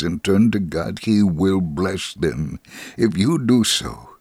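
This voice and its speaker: A man giving an expressive, measured scripted reading of a testimony story for religious radio. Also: sits low, creaky, dry recording, studio quality.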